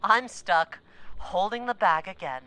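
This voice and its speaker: An actress playing an embattled, sarcastic moon base officer in an audio drama, indignant and combative. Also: female voice, mid-range voice, a little slow, sharp tone, clean tone, dry recording, poor audio. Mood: exasperated, sarcastic.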